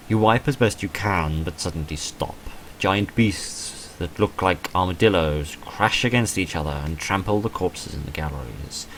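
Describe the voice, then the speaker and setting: A deep-voiced man narrates a visual novel playthrough. He reads the text like a dramatic story and drops in dry, offhand commentary. There is some faint noise behind his voice. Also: rough recording. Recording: noticeable background noise.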